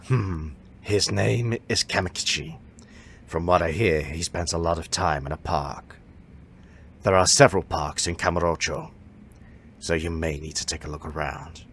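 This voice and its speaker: A man playing an elderly martial arts master in an audio drama, formal and measured, musing as he speaks. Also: low voice, fairly bright tone, a little hiss or hum. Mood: grave, explaining.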